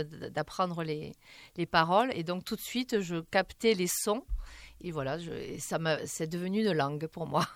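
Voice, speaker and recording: A woman with a lowish, smooth voice talks through her answers in a radio interview. She hesitates often and restarts her sentences. The studio sound is close and dry. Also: dry recording. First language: French. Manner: halting, many fillers and restarts. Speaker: singer, interview guest.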